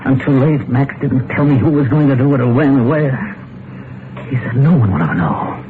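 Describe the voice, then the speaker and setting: An actor playing a frantic, hunted husband in an old-time radio mystery, urgent and melodramatic in delivery. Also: male voice, medium pitch, normal speed, background noise, close to an everyday voice. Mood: haunted, tense. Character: frantic, hunted husband fearing he will be killed. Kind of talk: 1940s-50s radio drama acting, first-person narration and dialogue. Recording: tinny old radio recording with loud music.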